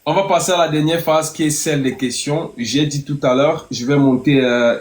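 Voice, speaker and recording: A man's voice: a little high, fairly even intonation, normal speed, roomy sound. A man hosting an online talk show, polite and hesitant, searching for his words. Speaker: host.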